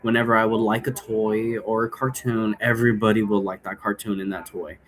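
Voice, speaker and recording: A man's voice: lowish voice, level tone, fairly quick, fairly bright tone, a little hiss or hum. A man giving an informal, improvised explainer in a casual, digressive way, often posing rhetorical questions. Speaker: role-play game creator and presenter.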